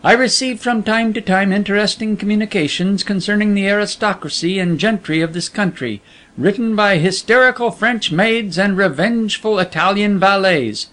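A man voicing a secretive, gruff middle-aged male editor in a storybook reading, speaking in a measured, confiding way. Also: high-pitched, a bit bright, voice pushed up.

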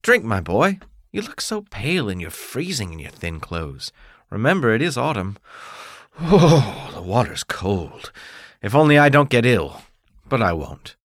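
A man's voice: mid-range voice, very animated, no echo, clean studio sound. A man voicing a tired, cold washerwoman mother who speaks fondly and pleadingly to her child. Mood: tender. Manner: fond and pleading, drawn-out endings.

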